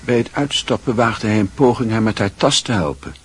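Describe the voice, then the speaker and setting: A man with a husky voice reading literary narration as a radio play narrator. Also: fairly low pitch, expressive, a little fast, heavy background noise.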